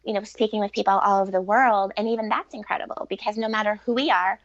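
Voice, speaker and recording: A woman's voice: mid-range voice, steady tone, quick pace, no echo. A middle-aged woman talking as an interview guest in an earnest, reflective and informal conversational way. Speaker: interview guest, relationship author and coach. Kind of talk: conversational podcast interview answers. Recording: dull, lo-fi sound.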